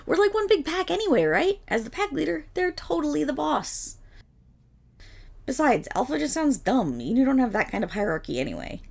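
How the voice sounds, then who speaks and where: A woman acts out a bubbly, affectionate young dog girl in an audio roleplay. Her girlish voice sits high and leaps around animatedly, with some creak at the edges. She comes across as playful, goofy and eager. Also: moderate pace, exaggerated voice. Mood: playful, insistent. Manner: excitable, playful, eager rambling. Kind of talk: ASMR roleplay audio drama.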